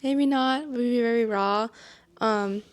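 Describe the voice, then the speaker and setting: A young woman from Massachusetts hosting a casual podcast chat. She speaks softly and reflectively, with many ums. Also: fairly high pitch, unhurried. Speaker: podcast host and editor.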